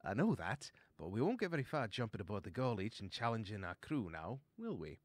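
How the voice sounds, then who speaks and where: A man's voice: fairly low pitch, very animated, brisk, dry recording. A man voicing a good-hearted, practical mouse adventurer in a storybook, with a plain, earnest manner. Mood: questioning.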